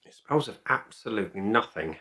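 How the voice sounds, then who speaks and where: A man's voice: lowish voice, expressive, brisk, sharp tone. A man talking casually to camera as a beer reviewer, chatty, opinionated and emphatic. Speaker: beer reviewer and video host.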